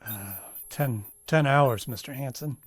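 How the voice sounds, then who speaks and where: A woman's voice: a bass voice, measured pace. A woman playing a loyal, hesitant military radar sergeant in a radio drama, deferential, tentative and stammering. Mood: subdued, consoling. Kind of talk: live radio drama acting.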